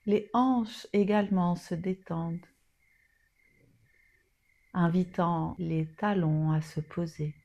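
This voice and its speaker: A woman leading a guided yoga nidra meditation in a soothing, soft manner with long pauses. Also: fairly low pitch, fairly even intonation, gravelly, muffled recording.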